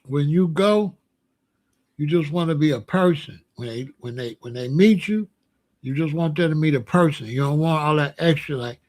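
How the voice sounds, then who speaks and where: A man with a somewhat high voice runs his own opinion show. He speaks bluntly in loose street slang and keeps firing rhetorical questions. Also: moderate pace, darkish tone. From Chicago. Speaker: show host. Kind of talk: casual opinion monologue.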